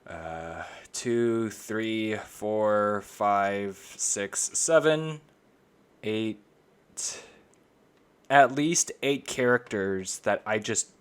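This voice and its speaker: A man co-hosting a casual podcast, offering teasing asides and halting as he looks things up. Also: lowish voice, smooth. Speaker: podcast co-host. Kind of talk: casual chat with trivia asides.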